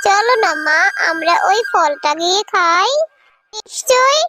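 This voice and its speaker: Little girl fox, extremely high voice, childlike, eager, pleading, cartoon music underneath. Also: animated, unhurried. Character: eager, wide-eyed little fox daughter.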